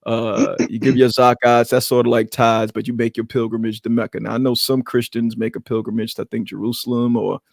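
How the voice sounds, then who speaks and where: A man co-hosting a podcast talks loosely and reflectively, circling back and restarting his thoughts. His voice sits at a middling pitch. The room sounds dry and close. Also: little pitch movement, brisk, vocal fry, hardly any pauses, darkish tone, no echo. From Queens, New York. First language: Arabic. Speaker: podcast co-host. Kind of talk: casual podcast conversation.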